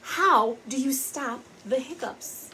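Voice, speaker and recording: A woman reading a picture book aloud to young children, expressive and speaking to them directly. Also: sits high, slow, a little hiss or hum, poor audio. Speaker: parent and teacher reading aloud.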